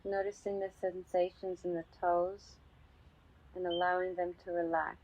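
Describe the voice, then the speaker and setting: A woman teaching a guided meditation calmly and unhurriedly, pausing often between short phrases. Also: fairly low pitch, a little hiss or hum. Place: outdoors in a garden. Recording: slightly dull sound with birdsong. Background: birdsong.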